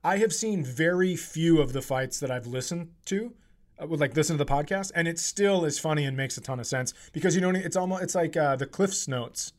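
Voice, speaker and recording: A man with a slightly high voice hosts a casual comedy podcast. He talks informally, joking and swearing freely as he chats. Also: brisk. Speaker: podcast host.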